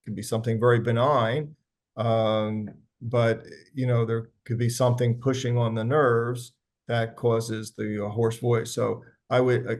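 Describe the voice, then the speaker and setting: A male physician answers health questions on a live panel, his voice gravelly with fry. He talks conversationally, pausing often and restarting sentences as he explains. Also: mid-range voice. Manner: conversational, frequent fillers and restarts. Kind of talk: medical panel Q&A.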